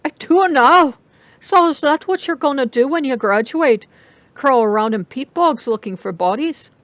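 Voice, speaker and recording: A woman acts out an awkward young woman student in a dramatic audiobook reading. She is anxious and defensive, hesitant in her delivery and pitched well up, with a slightly muffled recording. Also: expressive, moderate pace, dry recording. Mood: awkward, joking.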